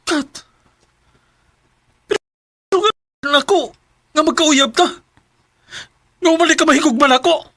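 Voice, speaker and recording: A man's voice: very high-pitched, takes it slowly, long pauses, sharp tone, no echo, clean studio sound, exaggerated voice. A man with a Filipino Bisaya accent acting a lovesick, jealous young security guard in a radio drama, questioning insistently and emotionally. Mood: hurt, accusing.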